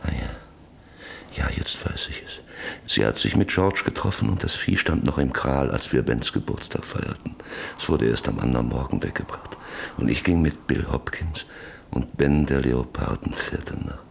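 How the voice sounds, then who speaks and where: An actor playing a troubled farmer father in a German radio drama, recalling the past in halting, repetitive phrases. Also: extremely low pitch, lively intonation, vocal fry, a little hiss or hum, full character voice. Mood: brooding, remembering. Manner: halting, repetitive, brooding delivery. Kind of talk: German radio play acting, reflective monologue.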